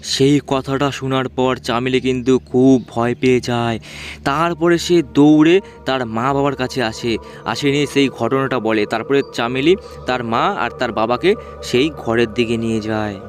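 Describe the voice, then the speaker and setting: A man's voice: mid-range voice, normal speed. A man narrating a ghost story for a cartoon in simple, informal, repetitive spoken language. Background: background music.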